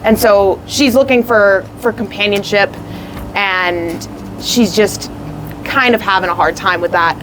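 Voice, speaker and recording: A young woman who is a puppeteer and actor, answering interview questions in an expressive, rambling way with lots of fillers. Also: mid-range voice, measured pace, background noise. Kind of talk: casual interview.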